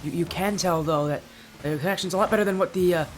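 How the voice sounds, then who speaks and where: A young male esports caster calls the match on his own with a high, light voice. He sounds a little stuffy in the nose and breaks into excited, high-pitched shouts when a play surprises him. A faint hum sits under his voice. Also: fast talker, low-quality recording. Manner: excitable, yells in high-pitched bursts at big plays. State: slightly stuffy from allergies. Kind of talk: solo play-by-play commentary.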